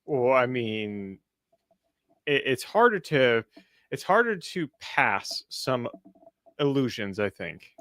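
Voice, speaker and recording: A young man co-hosting a casual chat, loud and teasing. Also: mid-range voice, very animated, takes it slowly, long pauses, dry recording, studio quality. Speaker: magic shop owner and co-host. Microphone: studio mic.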